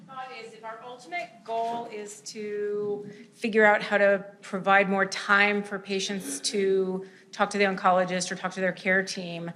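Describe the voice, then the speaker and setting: A woman's voice: mid-range voice, fairly bright tone. A woman clinician on a panel, working through a point in long, thoughtful sentences. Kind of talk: panel discussion remarks.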